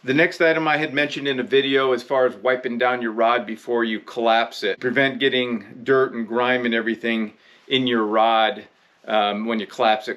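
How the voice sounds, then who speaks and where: A middle-aged American man in his fifties presenting fishing gear in a casual, instructional vlog style, explaining in a halting, conversational way. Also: medium pitch, a bit bright. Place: indoor room with rod racks.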